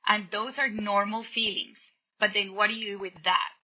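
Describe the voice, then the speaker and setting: Colombian woman guest on a podcast, super bright voice, muffled lo-fi audio. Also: medium pitch, steady tone, moderate pace. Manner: thoughtful, explanatory, quotes people in little voices. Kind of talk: reflective conversational storytelling.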